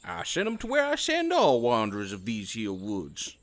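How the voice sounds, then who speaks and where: A man's voice: fairly low pitch, wildly swooping pitch, normal speed. A man voicing a friendly, polite little frog-like creature with a folksy Southern US accent in an audio drama. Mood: helpful.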